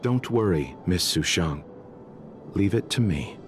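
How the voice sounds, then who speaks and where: An actor playing a courteous, enigmatic gentleman healer in a video game, formal and gently amused. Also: deep voice, animated, a little slow. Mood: courteous, reassuring. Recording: faint game music behind the voice.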